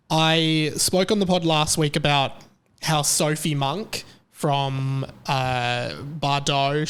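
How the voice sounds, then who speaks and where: A young Australian man talks alone into a podcast mic, chatty and rambling. His voice sits a bit high and sounds smooth and clear. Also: fairly bright tone. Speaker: podcast host. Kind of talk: casual solo podcast monologue.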